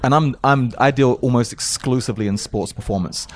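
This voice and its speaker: A man's voice: medium pitch, fairly quick. A man from South London with a light London English accent, speaking earnestly as a coach interviewed on a podcast, often repeating his first words. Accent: South London English, softened by years in America. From South London, England. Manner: earnest, repeats words when starting sentences. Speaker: mental toughness coach, guest.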